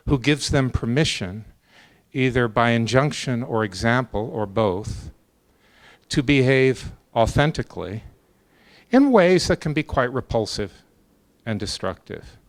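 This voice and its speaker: A man of medium pitch speaks very slowly, as a professor on a debate panel would. He is deliberate and measured, laying out his argument in short phrases with space between them. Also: expressive. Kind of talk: extemporaneous academic argument.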